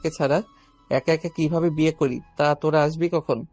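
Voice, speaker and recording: A man plays a calm young runaway groom in a romantic audio drama. He sounds reassuring and responsible, with soft music underneath. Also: a little high, moderate pace.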